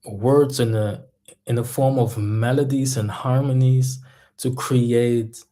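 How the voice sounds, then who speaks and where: Slow, mellow man. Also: medium pitch, fairly even intonation. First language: German. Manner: thoughtful, searching for words. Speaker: mindset coach and music artist. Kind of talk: casual reflective monologue.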